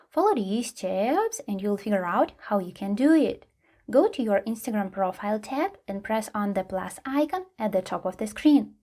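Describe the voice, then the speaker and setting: A woman's voice: mid-range voice, dark tone. Woman narrating a scripted how-to guide. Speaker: tutorial host.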